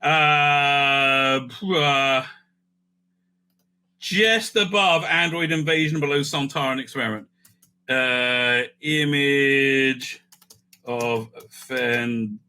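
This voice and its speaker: A man hosting a casual, off-the-cuff ranking commentary, animated and thinking aloud with frequent asides. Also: mid-range voice, drawn-out words, smooth. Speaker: host ranking TV serials.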